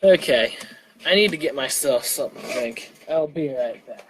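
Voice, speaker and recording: A man's voice: medium pitch, lively intonation, normal speed, slightly rough, low-quality recording. A man reading his own stories live, slipping between narration and casual remarks, sometimes stumbling over words. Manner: stumbles and restarts while reading.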